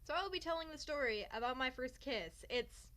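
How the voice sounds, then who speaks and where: A woman's voice: high voice, animated, brisk, fairly bright tone. A young woman vlogger telling a personal story in a casual, theatrical way with sarcastic, self-mocking asides. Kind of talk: casual storytime chat to camera.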